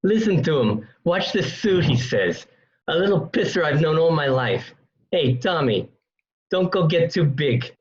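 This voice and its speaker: A man playing a cocky fifty-year-old New mobster, teasing loudly and familiarly in a dramatic screenplay read. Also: fairly high pitch, expressive, a little slow. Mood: mocking. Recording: dull lo-fi home recording.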